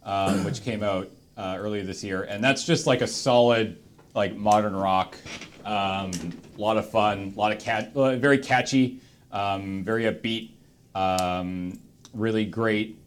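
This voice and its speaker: A man hosting a panel in casual chat, thinking aloud in halting, broken fragments. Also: lowish voice, fairly even intonation, a little hiss or hum. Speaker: host of a charity stream panel.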